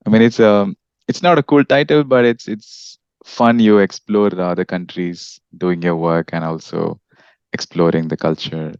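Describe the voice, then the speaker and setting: Deep-voiced man hosting a podcast. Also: fairly even intonation, moderate pace, darkish tone, clear, resonant tone, dry recording, dull audio. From India. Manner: friendly, with frequent restarts and fillers. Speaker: podcast host. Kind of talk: casual podcast interview.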